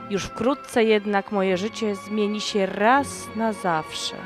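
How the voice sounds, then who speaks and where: A woman narrating a first-person horror story in a slow, dramatic storytelling manner. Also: medium pitch, fairly even intonation. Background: background music.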